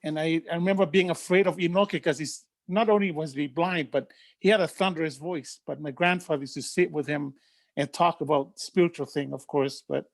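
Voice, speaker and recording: A woman's voice: deep voice, fairly quick, no room sound. Reflective woman on a call. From Tonga. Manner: reflective, stammers on restarts. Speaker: relative sharing a memory. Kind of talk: casual family video call. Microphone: video call, camera off.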